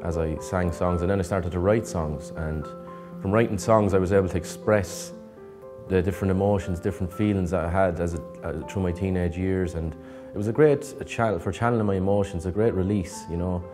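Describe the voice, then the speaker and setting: A man's voice: sits low, fairly even intonation, a little fast. A man in his thirties, a music educator speaking earnestly in a studio interview. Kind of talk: interview answers. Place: studio with plain backdrop.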